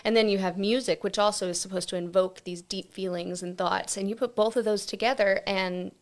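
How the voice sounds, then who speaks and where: Gravelly-voiced woman, earnest guest. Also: medium pitch, steady tone, brisk. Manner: earnest, enthusiastic. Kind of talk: casual chat.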